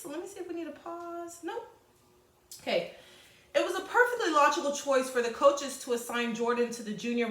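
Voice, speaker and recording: A woman's voice: on the high side, fairly bright tone, roomy sound. A woman teacher reading aloud to students, expressively, with informal chatty asides and explanations.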